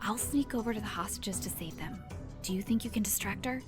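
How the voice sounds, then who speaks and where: A woman's voice: mid-range voice, fairly quick, music in the background, lightly acted. A woman playing a plucky, sassy superheroine in an audio drama, spirited and wry. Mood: urgent, hushed.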